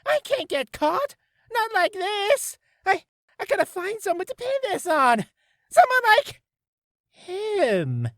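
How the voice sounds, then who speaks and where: A man acts out a volatile male witness from a courtroom game. He is panicky and stammering, his pitch leaping about. The recording is dry and close. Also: wildly swooping pitch, takes it slowly, fairly bright tone, no room sound, heavily acted. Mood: panicked. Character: cornered, stammering male witness turning to rage. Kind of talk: character voice reel, game acting.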